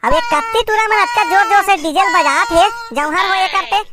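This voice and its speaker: A woman's voice: very high-pitched, brisk, heavy background noise. A woman voicing an exasperated, nagging village mother with a Chhattisgarhi accent in a cartoon comedy. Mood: exasperated, scolding. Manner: nagging, grumbling. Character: exasperated young village mother hushing her baby. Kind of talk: amateur cartoon comedy dialogue.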